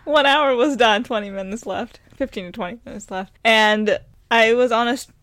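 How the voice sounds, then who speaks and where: A woman's voice: fairly high pitch, normal speed, a crowd in the background. A woman from the United States co-hosting a podcast, telling stories casually with lots of "like" and frequent laughter. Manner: giggly, storytelling with many "like"s. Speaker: podcast co-host. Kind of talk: casual chat.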